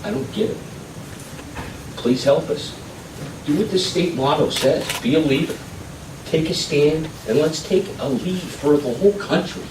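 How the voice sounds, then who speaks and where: A man's voice: on the high side, measured pace, long pauses. A man from Colorado giving earnest, pleading testimony at a legislative public hearing, building to emphatic appeals. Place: legislative committee hearing room. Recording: echoey hearing room, distant mic, noisy.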